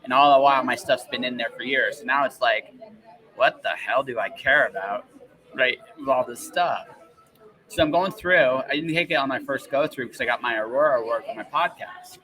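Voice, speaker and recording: A man's voice: mid-range voice, normal speed, sharp tone, poor audio. A man hosting a podcast in a casual, rambling way, often interrupting himself and tagging on little questions. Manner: rambling, self-interrupting, frequent tags like "right?". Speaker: podcast host.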